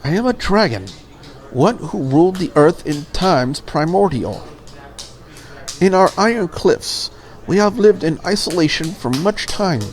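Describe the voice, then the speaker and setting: Visual novel dragon girl ambassador, played by a woman: proud, rigid, formal, grandiose, with a lively pitch range. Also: a little slow. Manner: stiff, formal, solemn declarations. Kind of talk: visual novel character read aloud.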